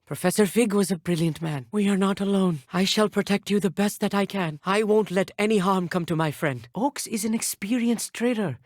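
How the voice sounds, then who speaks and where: An actor playing an earnest, bookish teenage schoolboy wizard in a video game, polite and a little nervous, with precise, slightly formal speech. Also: male voice, high voice, normal speed, hardly any pauses, dry recording, clean studio sound. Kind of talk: scripted video game dialogue.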